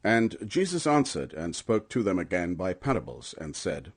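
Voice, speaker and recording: A man with a fairly low voice reads scripture as an audiobook narrator. His delivery is solemn and emphatic, like formal storytelling. The intonation moves widely, giving the reading a dramatic, animated shape. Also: moderate pace, husky.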